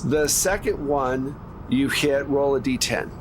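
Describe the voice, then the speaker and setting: A man's voice: medium pitch, takes it slowly. Man running a tabletop game, noisy recording. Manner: conversational, narrates in second person. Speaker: game master. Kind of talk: improvised narration and rules talk in a tabletop game. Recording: noisy recording with clear background noise.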